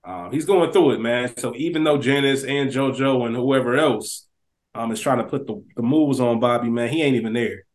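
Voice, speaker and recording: A man's voice: mid-range voice, normal speed. A man co-hosting a TV review podcast, making thoughtful points in casual chat. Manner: thoughtful, acts out quoted lines in a raised voice. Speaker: podcast co-host.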